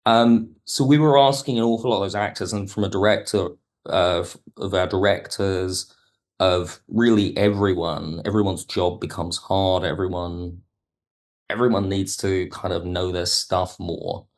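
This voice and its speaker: A man speaking as a producer and guest in an interview, reflective, halting and rephrasing as he explains. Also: deep voice, fairly even intonation. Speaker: audio drama producer and writer, podcast guest. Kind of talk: reflective interview talk about production work.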